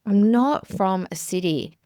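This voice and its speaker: A woman's voice: medium pitch, expressive, a little slow, warm tone, dry recording, clean studio sound. Earnest Australian woman, chatty solo podcast. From rural South Australia. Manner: chatty and earnest, many fillers and restarts. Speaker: podcast host and business coach. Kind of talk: casual solo podcast monologue.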